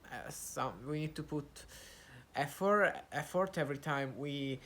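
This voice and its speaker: A young Italian man talking to camera in English, his second language, with an Italian accent, pausing often with fillers and restarts as he searches for words. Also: on the high side, fairly bright tone. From Italy. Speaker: vlogger. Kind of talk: casual talk to camera, practising English.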